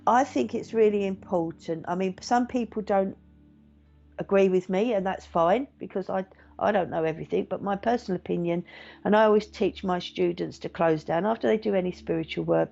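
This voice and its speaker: A middle-aged woman, a meditation teacher and medium, chatting gently and reassuringly to viewers with many fillers. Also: mid-range voice, gravelly, slight background noise. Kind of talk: casual live-stream chat reading viewer comments. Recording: dull lo-fi stream audio.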